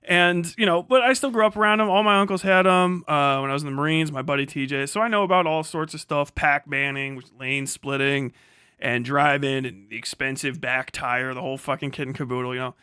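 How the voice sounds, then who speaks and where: A man streaming a casual, heavily sweary political chat, blunt and animated, often acting out imagined dialogue. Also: fairly high pitch, a little fast, clear, resonant tone, no room sound, clean studio sound.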